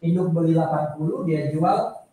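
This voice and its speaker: In a strongly reverberant room, picked up from a distance, an Indonesian man lectures in a high-pitched voice. He explains informally, circling back over points and putting questions to his listeners. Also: normal speed, mellow. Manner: conversational, repeats points and poses questions to listeners. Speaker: accounting lecturer. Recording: echoey room, distant microphone.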